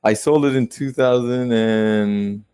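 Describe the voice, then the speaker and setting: A man in his late twenties, a guest investor telling stories in a casual interview with quick rueful asides. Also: medium pitch, mellow, clean tone, no echo, studio quality. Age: 20s. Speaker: guest real estate investor.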